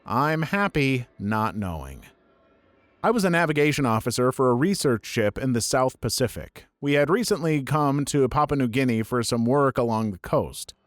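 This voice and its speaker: A man reading online stories aloud as a narrator, at a steady, even pace. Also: medium pitch, normal speed, no echo, clean studio sound. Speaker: story narrator.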